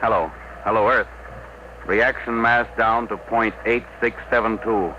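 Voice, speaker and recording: Vintage radio drama rocket pilot male actor: low voice, terse and commanding, muffled recording with heavy noise. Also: steady tone, measured pace, natural voice. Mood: grim, matter-of-fact. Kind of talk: 1950s radio drama acting.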